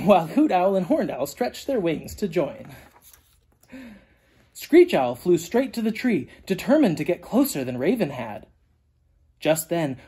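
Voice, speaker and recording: A husky, mellow voice reads a children's story aloud. The delivery is animated and deliberate, with a lively swing in pitch and dramatic emphasis for young listeners. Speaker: storyteller reading a children's book aloud, teacher. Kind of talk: expressive read-aloud narration for children.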